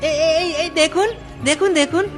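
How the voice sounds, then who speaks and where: A woman's voice: extremely high pitch, moderate pace, soft background music. A woman voicing a simple-hearted, earnest village boy in a fairy-tale cartoon, often hesitating and repeating words. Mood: polite, hesitant. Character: naive, simple-hearted village boy devoted to his mother.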